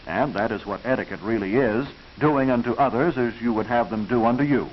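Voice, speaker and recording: A man's voice: mid-range voice, dull audio. Hissy 1940s American male film narrator. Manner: instructive, proper, measured enunciation.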